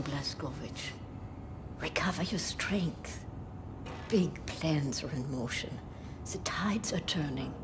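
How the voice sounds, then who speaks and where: An actress playing a calm, solemn resistance leader in a game cutscene, speaking gravely in a breathy half-whisper. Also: female voice, lowish voice, background noise. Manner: hushed, deliberate, grave. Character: calm, solemn resistance leader woman rallying a wounded soldier. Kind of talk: dramatic video game cutscene acting.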